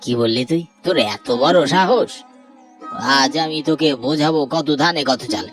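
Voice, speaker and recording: Man acting as greedy, domineering older brother, higher voice. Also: expressive, moderate pace, faint music behind, lightly acted. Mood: scornful, threatening. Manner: scornful, domineering. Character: greedy, cruel older brother hoarding the family land. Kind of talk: Bengali horror cartoon dialogue.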